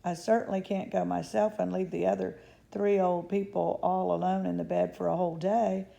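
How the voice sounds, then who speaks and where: A woman reading aloud as a mild, modest father who talks gently and hesitantly. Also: on the low side, flat delivery, moderate pace, gravelly, highs cut off. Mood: gentle.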